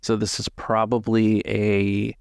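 A man's voice: fairly low pitch, monotone, slow, vocal fry, smooth, no room sound, clean studio sound. A man running a tabletop roleplaying game as game master, narrating scenes and explaining the rules.

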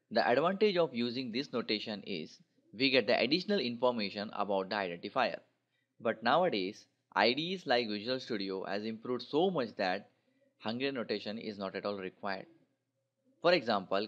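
Man teaching, dull lo-fi sound. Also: lowish voice. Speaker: programming instructor. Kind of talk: slideshow tutorial narration. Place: voice-over for a screen recording. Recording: dull lo-fi voice recording.

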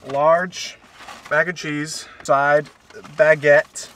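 A man's voice: on the high side, flat delivery, extremely slow, a bit bright. A man in his twenties chatting casually in a joking, rambling way, as a vlogger in a car. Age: 20s. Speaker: vlogger and host. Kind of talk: casual chat. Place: inside a parked car. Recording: a bit distant, some background noise.

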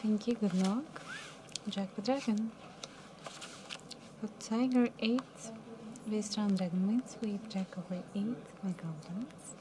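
A young woman dealing cards at a live casino table, calling results in a soft, mumbly voice that sinks toward a whisper. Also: mid-range voice, slow, pauses often, noisy background. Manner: soft, mumbly, drops to near whisper at round ends. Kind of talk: calling card game rounds.

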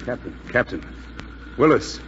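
Worried military co-pilot, swinging intonation, old radio drama, muffled noisy recording. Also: male voice, mid-range voice, very animated, measured pace. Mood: anxious. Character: anxious, concerned military transport co-pilot under fire. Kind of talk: 1940s radio drama acting.

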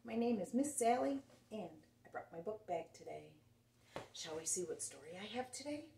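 A woman's voice: medium pitch, very animated, normal speed, vocal fry, warm tone, slight background noise. A middle-aged woman hosting a children's storytime, reading a picture book expressively in simple phrases with little exclamations and asides to young listeners. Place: indoor room, seated before a dark backdrop. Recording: roomy, distant camera microphone.

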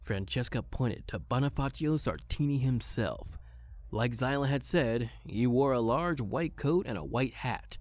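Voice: mid-range voice, unhurried, a little mellow, no room sound, lightly acted. An actor playing a socially awkward, formal detective in an audio drama, with precise, stiff wording. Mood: matter-of-fact narration. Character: formal, socially awkward detective narrator, reciting data robotically. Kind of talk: audio drama acting and noir narration.

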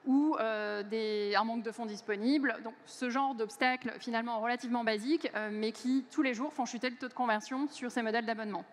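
A woman in her forties delivers a keynote from a big conference stage, her fairly high voice picked up by a headset mic. She talks fluently but leans on many hesitant fillers. A long reverb from the hall trails her words. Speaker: keynote speaker.

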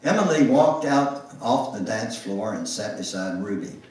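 Older male reader, dramatic literary recitation, slow and deliberate, distant mic in a church. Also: on the low side, animated, a little mellow. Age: older, 70s or above. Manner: theatrical, deliberate, with drawn-out words and emphatic pauses. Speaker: guest speaker reading at a church. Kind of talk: dramatic reading of a memoir. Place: church sanctuary, indoors at the front. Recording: roomy, echoing church sound from afar.

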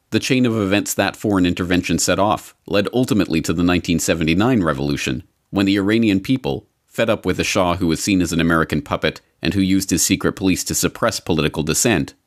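A man narrating scripted political commentary with articulate, formal phrasing. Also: sits low. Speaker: host and narrator.

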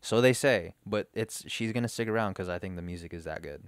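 A young man in casual podcast chat, his lowish voice smooth and clean. He talks loosely, swears freely and laughs often. The sound is dry and close, recorded on a boom mic in a living room. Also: fairly quick, no room sound. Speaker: podcast co-host. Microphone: boom mic on arm, headphones.

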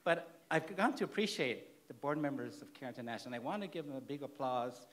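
A man with a Thai accent, the director of a charity, giving an earnest plenary speech at a conference, halting now and then to restart his words. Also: medium pitch, expressive, a bit bright. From Thailand. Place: large conference hall.

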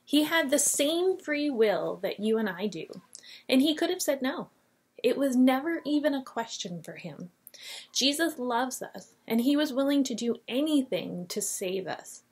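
A woman's voice: high-pitched, animated, measured pace, breathy edge. A woman in her forties teaching a children's Bible lesson on webcam, telling the story expressively and reading scripture aloud. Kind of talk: Bible lesson for kids on video. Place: small painted classroom office, indoors. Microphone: webcam at desk.

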